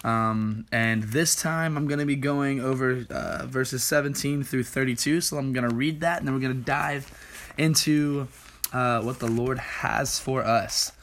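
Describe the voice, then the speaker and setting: A young man, a youth worship leader, giving a casual Bible study talk in a tired, slightly hoarse voice, often saying um and clearing his throat. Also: medium pitch, measured pace, fairly bright tone. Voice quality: many ums and throat clearing.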